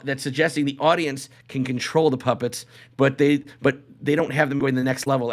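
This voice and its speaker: A man hosts a live podcast in a loose, conversational style. His voice sits at a middling pitch, and he rambles, often circling back to repeat his phrases. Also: a bit bright. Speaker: podcast host. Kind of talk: casual live podcast chat.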